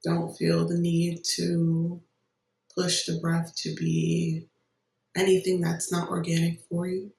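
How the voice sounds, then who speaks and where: A meditation guide giving gentle, unhurried instructions with long pauses between phrases. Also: mid-range voice, completely flat delivery, gravelly. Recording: roomy echo, distant microphone.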